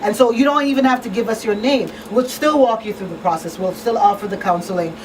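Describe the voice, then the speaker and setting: A woman's voice: mid-range voice, quick pace, darkish tone, distant mic, slight background noise, low-quality recording. A woman hosting a conversational community radio talk show, speaking in an earnest, emphatic way as an advocate. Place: small radio studio. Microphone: studio microphone on boom arm.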